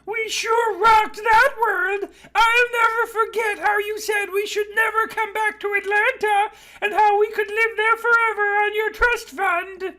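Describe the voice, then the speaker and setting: Man putting on a very high, drawling seductress. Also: level tone, unhurried, pushed and bright, exaggerated voice. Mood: teasing, seductive.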